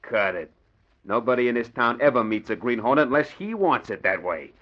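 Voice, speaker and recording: Male actor as a suspicious mob henchman, swooping pitch, slangy bluster, bossy, muffled vintage radio recording. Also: animated, fairly quick, natural voice. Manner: slangy, blustering tough talk. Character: excitable small-time male gangster henchman, bossy and suspicious. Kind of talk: 1940s radio crime drama acting. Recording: dull old 1940s radio recording.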